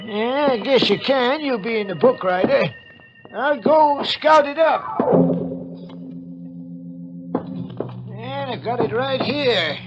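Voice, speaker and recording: An actor playing a folksy old village record keeper, telling tales in 1940s radio acting style. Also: male voice, very high voice, measured pace, highs cut off, over music. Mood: curious, obliging. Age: older. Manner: folksy, storytelling.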